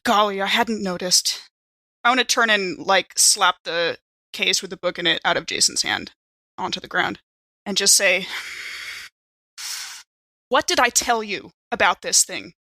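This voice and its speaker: A woman playing a tabletop role-playing game, brisk and decisive, moving between casual talk and character lines. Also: mid-range voice, expressive, pushed and bright, no echo, rough recording.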